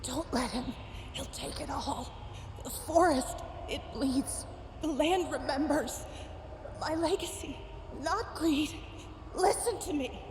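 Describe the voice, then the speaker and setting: A woman playing an eerie female ghost in an audio drama, urgently pleading in a slow, haunting manner. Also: sits high. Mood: urgent warning. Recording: long echoing reverb, noisy ambience behind.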